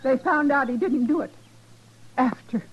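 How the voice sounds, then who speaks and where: An actress playing an anguished American frontier wife and mother in a 1950s radio western, with a husky voice. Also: sits high, moderate pace. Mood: stricken. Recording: muffled old radio recording.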